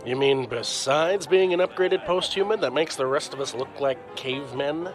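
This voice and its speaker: A man's voice: mid-range voice, moderate pace, faint music behind, close to an everyday voice. An actor playing an easygoing, teasing male sidekick with a playful manner.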